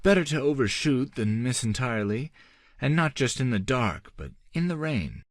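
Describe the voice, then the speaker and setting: A man's voice: medium pitch, sing-song, moderate pace, dry recording, studio quality, voice pushed up, natural voice. A man voicing a young, athletic empress thinking wryly to herself in an audiobook. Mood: relieved, self-satisfied. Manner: wry, self-talking.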